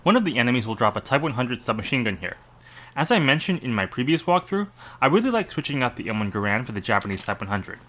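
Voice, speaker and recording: A man delivers informative gameplay commentary at a quick clip. His pitch moves around in a lively way as he methodically explains and adds his own opinions. The voice is bright and forward. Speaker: game walkthrough narrator.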